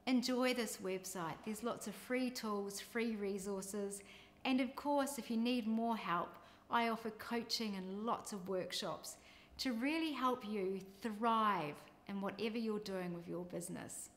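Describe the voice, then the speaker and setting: A woman's voice: medium pitch, a little slow. A middle-aged woman with a New Zealand accent, a business coach telling her career story in an upbeat, scripted introduction.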